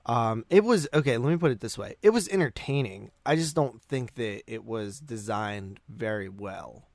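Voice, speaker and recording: A man's voice: mid-range voice, expressive, normal speed, gravelly, a little mellow, no echo, clean studio sound. A man co-hosting a podcast, chatting casually about movies in loose, conversational phrasing that often circles back on itself. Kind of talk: casual film review chat.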